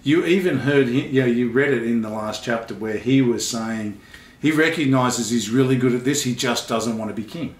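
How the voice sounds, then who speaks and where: A man's voice: medium pitch, moderate pace. A middle-aged man casually discussing books, explaining his opinions. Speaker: father reviewing books with daughter. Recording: echoey room.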